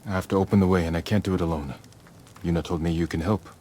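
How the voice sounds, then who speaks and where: An actor playing a stoic, dutiful samurai outlaw in a dramatic game cutscene, speaking in a solemn, measured, earnest manner. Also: male voice, deep voice, fairly even intonation, mellow, a little hiss or hum, natural voice. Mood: firm, persuasive. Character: stoic, dutiful samurai man turned outlaw, guilt-laden but resolute.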